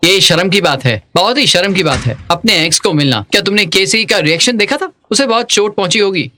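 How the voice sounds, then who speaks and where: A man's voice: high-pitched, expressive, a little fast, pushed and bright. A man playing a chatty, needling company colleague in a dubbed drama. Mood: gossipy.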